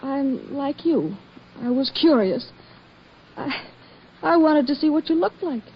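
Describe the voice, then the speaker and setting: A woman's voice: high voice, animated, slow, exaggerated voice. A woman playing a lonely, apologetic young American woman who speaks hesitantly in an old-time radio drama. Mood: sheepish, pleading. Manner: hesitant, stammering. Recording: dull lo-fi old radio broadcast.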